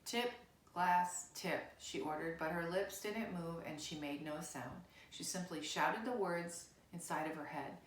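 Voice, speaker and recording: A woman's voice: low-pitched, normal speed, hardly any pauses. A woman reading a children's novel aloud as scripted narration. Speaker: storybook reader. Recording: roomy, echoey indoor sound.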